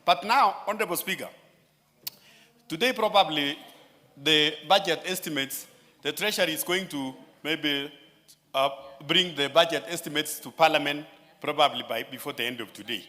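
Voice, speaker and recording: A man addressing parliament in a floor speech, often turning to the Speaker and pausing on fillers. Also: a little high, sharp tone. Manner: addresses the Speaker repeatedly, with many filler pauses. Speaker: member of parliament. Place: large parliamentary chamber. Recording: chamber echo with long reverb.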